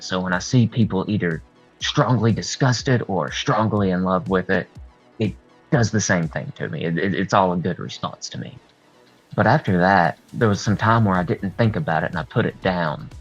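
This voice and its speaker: Husky deep guy chatting candidly as an interview guest, rambles a bit, faint music under it. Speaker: horror artist and video creator, interview guest. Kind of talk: casual interview conversation. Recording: dull lo-fi sound, music behind.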